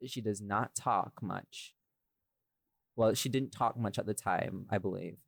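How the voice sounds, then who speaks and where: A man's voice: on the low side, measured pace, vocal fry, dry recording, studio quality. A man chatting casually in a rambling way, often interrupting himself and repeating phrases. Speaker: podcast guest, voice actor. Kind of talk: casual chat.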